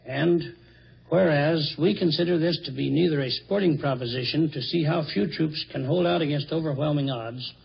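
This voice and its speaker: American man, gravelly, slightly high voice, formal official statement, muffled vintage recording with some noise. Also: moderate pace. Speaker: draft board member. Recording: dull, noisy old field recording.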